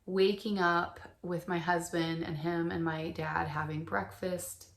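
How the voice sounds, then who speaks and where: A middle-aged woman, a little hoarse from shouting, co-hosting a casual podcast and gently asking questions. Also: lowish voice, little pitch movement, measured pace, reverberant. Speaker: podcast co-host. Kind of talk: casual chat.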